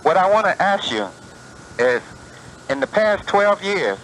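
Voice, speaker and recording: A man's voice: high-pitched, very animated, a little slow, fairly bright tone, rough recording. A man calling into a radio show and challenging the host with plainspoken questions. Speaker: caller. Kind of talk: call-in phone conversation. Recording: caller audio on a 1990s radio broadcast with faint hiss.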